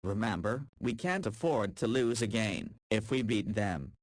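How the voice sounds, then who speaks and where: A man's voice: fairly low pitch, unhurried, dry recording, clean studio sound, lightly acted. A man voicing a teenage boy who plays basketball in a visual novel, talking casually and joking back and forth. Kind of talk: voiced visual novel dialogue.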